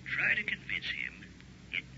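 A man's voice: a little high, wildly swooping pitch, unhurried, vocal fry, full character voice. A man playing a grave, authoritative doctor in an early 1960s radio drama. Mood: grave, cautioning. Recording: muffled old radio recording with hiss.

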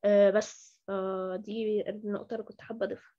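A woman with an Egyptian Arabic accent joins an online session and offers advice, pausing on hesitant fillers. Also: medium pitch, flat delivery, highs cut off. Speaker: session participant.

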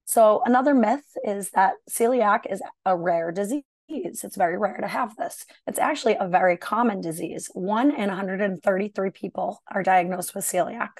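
A woman gastroenterologist with a raspy, hoarse voice, explaining a medical topic in a casual, conversational webinar talk over a video call. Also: medium pitch, moderate pace, few pauses, a little mellow, no echo. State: hoarse, clearing throat.

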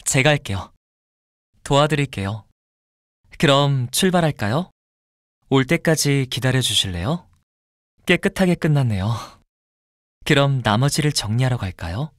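A courteous young man from a mobile game, acted by a man, speaks slowly and softly in a very dry, close recording. He is fastidious and sweetly possessive, measuring each phrase with intimate care. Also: mid-range voice, animated, no room sound, lightly acted. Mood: calm, polite. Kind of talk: mobile game character voice lines.

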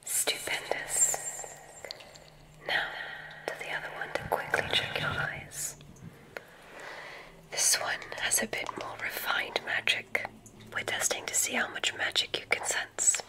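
A woman whispering in a breathy, gentle way, playing a gracious witch dean in an ASMR roleplay. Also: low-quality recording. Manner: gentle, drawn-out, effusively complimentary. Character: gracious, welcoming witch dean of a magic school.

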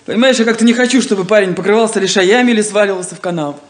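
An actress playing a proud, serious, emotional farm daughter in a 1970s Soviet radio play. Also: female voice, medium pitch, racing, close to an everyday voice. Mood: hurt, defiant. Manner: indignant, emotional.

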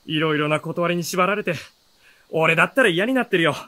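A man playing an easygoing, teasing young traveler in a Japanese historical fantasy radio drama, with a relaxed, bantering manner. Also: on the high side, a little fast. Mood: curious, friendly. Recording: music under the voice.

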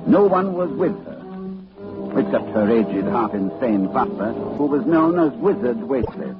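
An actor playing a grave, urgent professor narrating horror in a formal, portentous 1940s radio style. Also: male voice, mid-range voice, big pitch swings, measured pace, over loud music. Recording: muffled 1940s radio recording.

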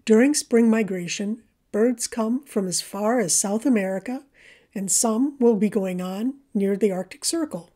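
A woman reading a scripted voice-over about nature in a gentle, measured storytelling manner. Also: medium pitch. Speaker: presenter of a backyard birding video for a library.

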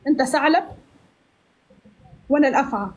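A woman's voice: high-pitched, fast, poor audio. A woman in her twenties hosting a live stream from a phone, chatting and teasing guests in a playful, mock-pious way. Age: 20s. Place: small room indoors. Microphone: phone at arm's length.

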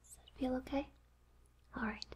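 Whispering ASMR woman, soft and gentle. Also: normal speed. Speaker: ASMR host playing a hairdresser. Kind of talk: whispered ASMR haircut role play. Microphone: binaural microphones. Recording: faint noise, clicks, close binaural mics.

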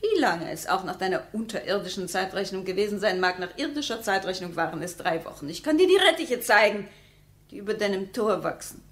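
An actress playing a sceptical, needling wife in a bitter marriage for a radio drama, with pointed, mocking, precise phrasing. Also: female voice, mid-range voice, sing-song, a little fast, lightly acted. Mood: exasperated, challenging.

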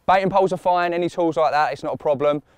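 A man's voice: a little high, quick pace, clear, resonant tone, no room sound. A man outdoors leading a group of anglers, upbeat and organising, and he laughs easily. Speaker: angler presenter. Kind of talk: casual group banter and piece to camera. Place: outdoors in a car park and by lakes. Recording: faint music beneath.

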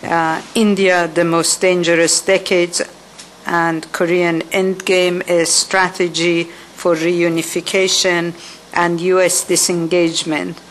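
Female moderator, unhurried and hesitant, low monotone, faint hiss behind. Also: poor audio. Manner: slow, hesitant, many fillers. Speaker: event moderator. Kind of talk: formal introduction.

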